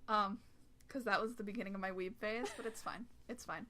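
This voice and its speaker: A woman's voice: mid-range voice, steady tone, brisk, bright, forceful tone, a little hiss or hum. An eighteen-year-old woman from Canada chatting casually with friends, hesitant and full of ums. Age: young adult. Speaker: cover singer. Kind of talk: casual group chat.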